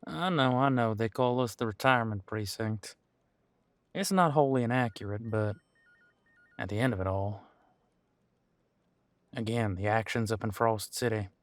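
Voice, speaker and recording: A man playing an easygoing veteran police officer in an audio roleplay, chatting casually and candidly with thoughtful pauses. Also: lowish voice, no room sound, natural voice. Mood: wry, apologetic. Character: easygoing veteran male supernatural police officer showing newcomer around. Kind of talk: audio roleplay acting, one-sided conversation.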